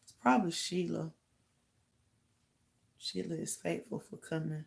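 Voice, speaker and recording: A middle-aged woman with a slightly raspy voice chatting casually to live viewers as host, halting and pausing as she goes. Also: on the low side. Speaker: host of a livestream talk channel.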